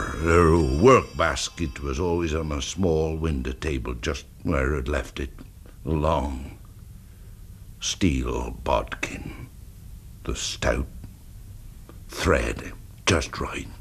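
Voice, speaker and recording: A vengeful man in an old radio horror play, his voice extremely deep. He speaks haltingly, savouring cruelty and slipping into whispers, over faint background noise. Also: full character voice. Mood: gloating, menacing. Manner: hushed, halting, lingering on words, sinking to whispers. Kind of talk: radio drama storytelling monologue.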